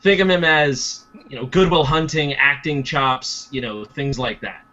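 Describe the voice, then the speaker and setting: A man's voice: medium pitch, measured pace, sharp tone, dull audio. A man playing in a tabletop game over a video call, talking casually and enthusiastically as he explains his choice. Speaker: tabletop game player and channel host. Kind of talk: casual chat on a game show.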